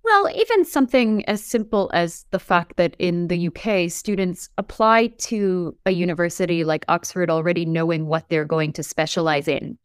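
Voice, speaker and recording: A woman professor in her forties, raised in the United States, speaking in an articulate, measured way with emphasis in an interview. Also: lowish voice, clean tone, dry recording, studio quality. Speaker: English professor. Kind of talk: reflective interview talk.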